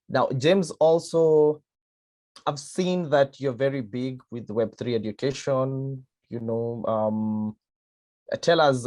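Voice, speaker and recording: A man's voice: fairly low pitch, a little mellow. A man interviewing a guest, agreeing briefly and asking questions with some fillers.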